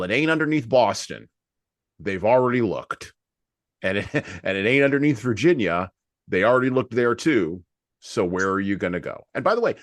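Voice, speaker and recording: Very deep-voiced American man, close dry sound. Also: no echo. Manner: emphatic, repeats phrases for effect, poses rhetorical questions. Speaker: podcast host. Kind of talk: conversational book discussion and commentary.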